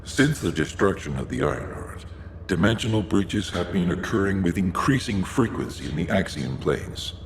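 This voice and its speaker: A man's voice: sits low, expressive, slight background noise. Echoey, grave male game commander. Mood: grave, urgent. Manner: formal, measured, serious. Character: grave, urgent male commander giving a briefing. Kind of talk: scripted video game dialogue.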